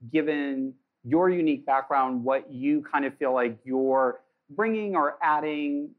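A man hosting a live panel, asking questions in a relaxed, meandering way. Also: fairly high pitch, a little slow, phone-quality sound. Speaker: host, moderator.

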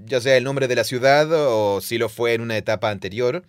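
Male podcast co-host, teacherly explainer, average pitch, dry close-miked studio. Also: fairly quick, clean tone, no echo. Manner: explains at length, reads quotes aloud, laughs easily.

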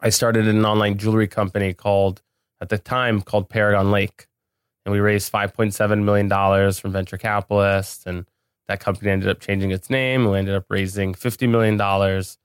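A man's voice: lowish voice, little pitch movement, brisk, smooth, no room sound. A man speaking casually as an interview guest on a podcast, recorded in a studio.

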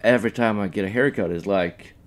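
A Norwegian man in his thirties talks in a low voice. He chats casually as a radio guest and makes wry jokes about himself. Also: fairly quick. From Norway. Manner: joking, self-deprecating. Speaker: brewery sales manager guest. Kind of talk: casual interview chat.

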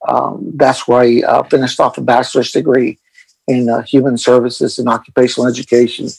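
A man's voice: mid-range voice, flat delivery, fairly quick, creaky, darkish tone, slightly rough, no echo, low-quality recording. A middle-aged man from El Paso, Texas, a mindfulness coach, answering interview questions with many fillers and restarts. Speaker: guest, mindfulness coach for athletes.

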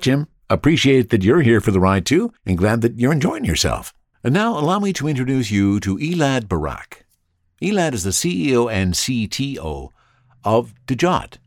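A man's voice: fairly low pitch, no echo, studio quality. A man hosting a podcast, chatty and friendly as he thanks listeners and introduces his guest. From Canada. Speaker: podcast host. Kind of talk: podcast interview and thank-yous.

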